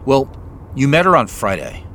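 A man's voice: medium pitch, sing-song, moderate pace, fairly bright tone, slight background noise. Polite professional man, understated, hesitant, varied intonation, middle register, audio drama. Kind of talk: naturalistic audio drama acting.

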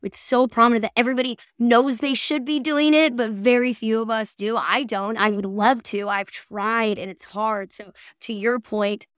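A woman's voice: fairly high pitch, fairly even intonation, normal speed, few pauses, clear, resonant tone, dry recording, studio quality. A woman co-hosting a podcast, talking casually and agreeably as she discusses her views. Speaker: podcast co-host. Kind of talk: casual discussion and review of ads.

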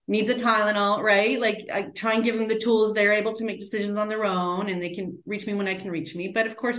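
Chatty woman in echoey room. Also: medium pitch. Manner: chatty, warm, many "right?" and "like" tags. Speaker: guest, end-of-life doula. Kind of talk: casual interview talk.

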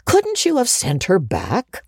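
A woman's voice: medium pitch, huge pitch swings, slow, a little mellow, slightly rough, no echo, clean studio sound, voice pushed up, heavily acted. A woman narrator voicing a nosy, disapproving older village busybody in a storybook, gossipy and gloomily judging. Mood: sympathetic.

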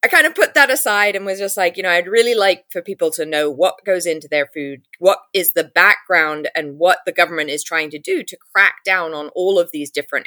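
English woman telling her story, bright and dry. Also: on the low side, no echo. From England. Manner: animated storyteller, acts out her past thoughts in quotes. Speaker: advocacy group founder. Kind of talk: storytelling in an interview.

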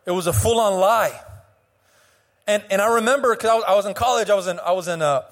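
Young male pastor, high voice, slightly hoarse, passionate half-sung preaching, long church reverb. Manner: impassioned preaching with sung, drawn-out phrases and frequent restarts. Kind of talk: sermon. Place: church sanctuary.

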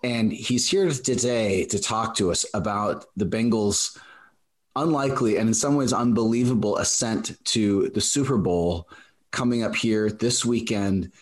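A man's voice: fairly low pitch, unhurried. A man in his forties from Alaska, hosting a conversational interview and explaining things at length with frequent asides. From South Central Alaska. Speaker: podcast host.

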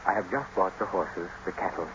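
Casting: bitter, sardonic self-made man, very deep voice, deliberate, vintage radio drama with clear background noise. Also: fairly bright tone. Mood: mocking. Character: brooding, bitter, sardonic self-made gentleman, once stable boy. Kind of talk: 1940s-50s radio drama acting. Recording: noisy, dull old radio broadcast.

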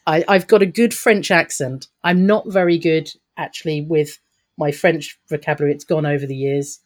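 A woman's voice: low-pitched, steady tone, normal speed. A woman talking as a guest in an interview, upbeat and chatty, laughing often and restarting her sentences. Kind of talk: podcast interview answers.

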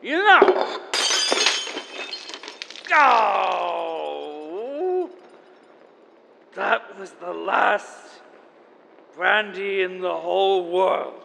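An actor playing a melancholy, theatrical lone narrator at the world's end, florid and full of dramatic pauses, in a noisy, reverberant audio drama. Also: male voice, sits high, creaky, bright, forceful tone, exaggerated voice. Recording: noisy, long echoing reverb.

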